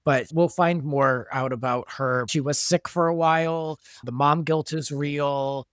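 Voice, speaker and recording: A man's voice: fairly high pitch, steady tone, measured pace, fairly bright tone, clear, resonant tone, no echo, studio quality. A man speaking as a creator and podcast guest, explaining his work conversationally in a casual discussion. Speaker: audio drama creator and guest.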